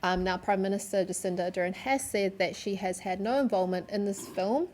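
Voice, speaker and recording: A woman's voice: mid-range voice, steady tone, fairly quick, darkish tone, a little hiss or hum. A woman co-presenting a casual studio chat show, upbeat and chatty as she talks.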